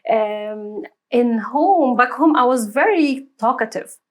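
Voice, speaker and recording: A woman's voice: fairly high pitch, expressive, slow. A woman in her thirties from Tunisia, speaking English with an Arabic accent as an interview guest, hesitant and full of fillers, laughing often. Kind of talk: conversational interview.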